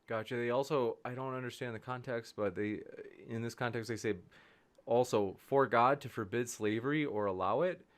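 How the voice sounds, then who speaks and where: Man, moderator, reads viewer questions, casual, mid pitch. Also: moderate pace. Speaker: debate moderator.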